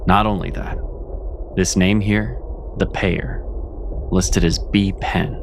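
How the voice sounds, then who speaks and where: A man playing a grave, weary, principled investigator in a fantasy audio drama, speaking tersely and deliberately. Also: sits low, little pitch movement, background noise. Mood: intent, grave.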